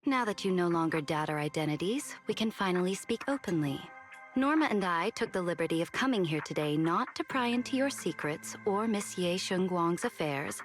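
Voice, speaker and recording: A woman voicing an elegant, poised and teasing female official in a video game, sultry with a slight rasp and measured delivery. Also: lowish voice, animated, soft background music, lightly acted. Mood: composed, serious. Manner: measured, composed, silky.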